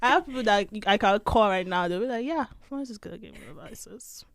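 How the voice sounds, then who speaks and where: A woman's voice: mid-range voice, animated, fairly quick. A woman whose first language is Igbo, co-hosting a podcast in casual chat, playful and self-assured, laughing often. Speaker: podcast co-host.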